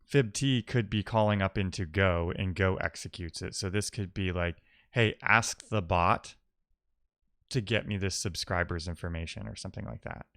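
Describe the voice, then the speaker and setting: Male tech streamer, smooth low voice, casual and explanatory, thinks out loud. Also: moderate pace, dry recording, studio quality. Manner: musing, explanatory, poses questions to himself. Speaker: live-coding streamer. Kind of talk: casual technical talk, thinking aloud.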